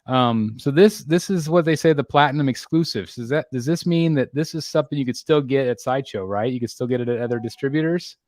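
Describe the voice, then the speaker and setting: A man in his forties hosts a laid-back panel chat, pausing often with ums and restarting his sentences. His voice sits at an ordinary middle pitch. The sound is dry and close, with no room echo. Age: 40s. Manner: relaxed, many filler ums and restarts. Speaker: host.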